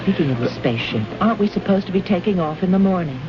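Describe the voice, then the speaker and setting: A woman's voice: fairly low pitch, animated, fast, background noise, lightly acted. An actress playing a proud, defiant young woman diplomat in an old-time radio drama, speaking in a haughty, formal, theatrical way. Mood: anxious. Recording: dull vintage radio recording, music behind.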